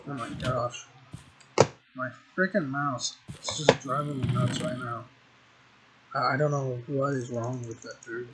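A man's voice: medium pitch, fairly even intonation, unhurried. A young man giving casual, rambling commentary while playing a game, with frequent ums and restarts. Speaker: gaming video creator. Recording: noisy home recording with mouse clicks.

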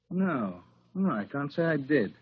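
Supercilious young gentleman, husky. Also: fairly high pitch, big pitch swings, takes it slowly, exaggerated voice. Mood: cool, disdainful. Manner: clipped, polite, disdainful. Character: supercilious, cold, self-assured wealthy young man. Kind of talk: 1940s radio acting. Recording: dull old radio broadcast.

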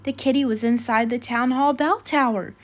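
A woman reading a children's storybook aloud in an expressive style. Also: fairly high pitch, moderate pace. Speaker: children's book reader.

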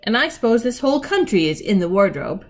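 A woman's voice: mid-range voice, very animated, fast talker, pitched above the performer's own voice. A woman voicing an eager, decisive older brother, a schoolboy leader in a storybook, with exclamatory old-fashioned phrasing. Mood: brisk, agreeable.

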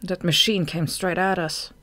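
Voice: mid-range voice, steady tone, unhurried, pushed and bright, dry recording. A storybook narrator voicing a practical young female bat, distrustful and bitter, moving between dull muttering and savage intensity. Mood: dark, bitter.

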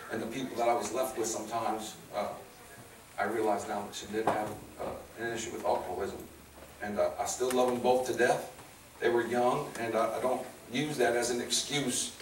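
Chicago man giving testimony in echoey hall. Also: mid-range voice. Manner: casual, plainspoken, earnest, folksy. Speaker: guest speaker sharing testimony. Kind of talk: personal testimony to a church crowd. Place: large hall with stage, indoors. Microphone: handheld or lapel mic, filmed by a far camera. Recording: roomy hall, distant mic, noisy.

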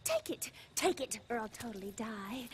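A woman's voice: on the high side, very animated, moderate pace, slight background noise. A woman voicing a giddy, nervous fangirl schoolgirl assassin in a video game, girlish and gushing. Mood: excited, pleading. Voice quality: girlish, giggly. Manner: gushing, hesitant teen slang, sudden swoops. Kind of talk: video game cutscene acting.

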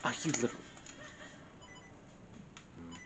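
A man commenting casually as he plays a video game, sarcastic and mildly exasperated. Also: takes it slowly. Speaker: co-host playing the game. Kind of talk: casual let's play commentary. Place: indoors, in front of a TV. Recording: game music over the talk. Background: video game music.

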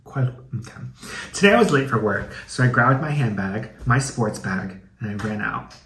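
A man's voice: mid-range voice, moderate pace, fairly bright tone. A young American man hosting a comedic video, reading aloud and commenting in a chatty, slangy, playfully dramatic way. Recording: echoey room with some background noise.

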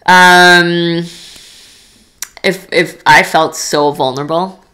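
A woman's voice: fairly low pitch, fairly even intonation, takes it slowly, pushed and bright. A young woman from Poland, a podcast guest talking candidly and chattily, with lots of fillers and restarts. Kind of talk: casual interview chat.

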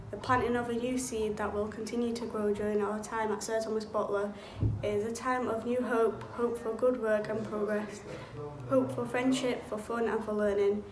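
A woman's voice: fairly high pitch, darkish tone. A teenage female student reading a reflection and prayer aloud. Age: teens. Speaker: student reader. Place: indoor school room, standing before camera. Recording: echoey room, faint background noise.

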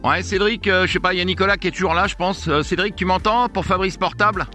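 A man's voice: sits high, steady tone, quick pace, bright, forceful tone, faint music behind. A man chatting with other operators as an amateur radio operator. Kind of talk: radio contact chat.

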